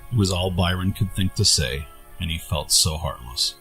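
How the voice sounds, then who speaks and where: Very deep-voiced male story narrator. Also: lively intonation, normal speed, creaky, fairly bright tone, faint music behind. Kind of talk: scripted fantasy story narration.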